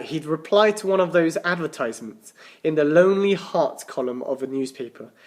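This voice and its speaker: A man reading a children's story aloud as a teacher, with animated, dramatic emphasis and the odd stumble over words. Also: a little high. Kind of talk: expressive children's storybook narration.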